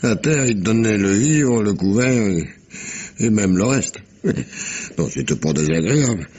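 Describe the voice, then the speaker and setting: An actor playing a very old, sly sailor in a French radio mystery drama, with a husky, wheezy voice and a halting storyteller's delivery. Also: male voice, fairly low pitch, lively intonation, a little mellow. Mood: sly, confiding. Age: 80s. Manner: halting, chuckling, salty storyteller with hums and asides.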